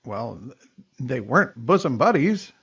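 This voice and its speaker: A man's voice: medium pitch, big pitch swings, a little slow, vocal fry, a bit bright, slightly rough, lo-fi sound, heavily acted. An actor playing a wisecracking male medical examiner with dry punchline delivery in a comedic radio drama. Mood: deadpan.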